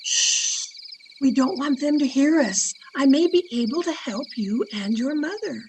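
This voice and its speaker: A woman's voice: sits high, animated, measured pace, slight background noise. A woman playing a melodramatic, self-righteous older aunt in a radio comedy, with a theatrical delivery, recorded over a video call. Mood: earnest, prying. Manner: theatrical, dramatic. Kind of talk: old-time radio comedy acting.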